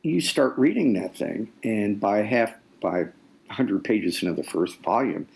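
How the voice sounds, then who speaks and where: A man speaking as an author guest in a conversational interview, explaining deliberately and repeating phrases for weight. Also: on the low side, animated, gravelly, darkish tone. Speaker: nonfiction author, podcast guest.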